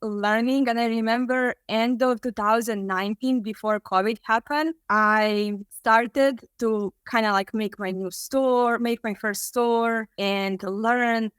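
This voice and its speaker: A woman from Serbia with a Serbian accent, speaking English as a second language in a halting interview chat, full of "like" fillers. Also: a little high, fairly even intonation, fairly bright tone, smooth, dry recording. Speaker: guest, student.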